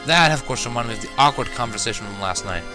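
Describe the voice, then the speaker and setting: A man's voice: medium pitch, expressive, fast talker, over music. A man narrating a first-person fan fiction story in a wry, dramatic manner.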